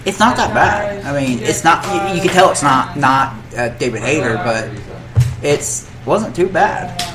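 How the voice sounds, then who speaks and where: A man's voice: fairly high pitch, expressive, unhurried, over loud music. A man talking casually and with strong opinions on a panel, rambling and often restarting and repeating his phrases. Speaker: podcast panelist. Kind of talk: casual opinionated chat about games and films. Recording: background crowd chatter.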